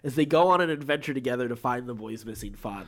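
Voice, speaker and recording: A man's voice: mid-range voice, quick pace. A man with an American accent co-hosting a podcast, chatting casually and reading out nerd news in an easygoing way. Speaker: podcast co-host.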